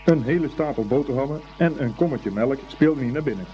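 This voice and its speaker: A man narrating a scripted story for a Dutch radio play in an expressive, unhurried storytelling manner. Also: mid-range voice. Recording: dull old radio recording, faint music.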